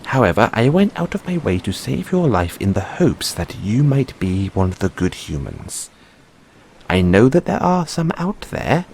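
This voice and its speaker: A proud merman prince, played by a man in an audio roleplay, with a lowish voice that rises and falls dramatically. He speaks in formal, measured phrases with weighty pauses, courteous yet guarded toward humans. A light layer of noise sits behind the voice. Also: a little mellow, exaggerated voice. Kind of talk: scripted audio roleplay addressed to the listener.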